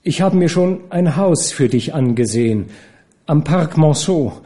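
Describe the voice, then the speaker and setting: A man's voice: fairly high pitch, lively intonation, unhurried, mellow, pitched above the performer's own voice. Man doing a desperate, pleading nobleman. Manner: urgent, pleading, stammering.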